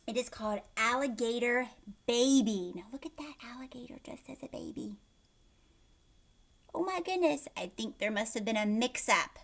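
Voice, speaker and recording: Animated high-voiced woman reading to children. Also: normal speed, gravelly. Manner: animated, questioning, emphatic. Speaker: host of children's read-aloud, teacher. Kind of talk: expressive storybook narration with asides to children.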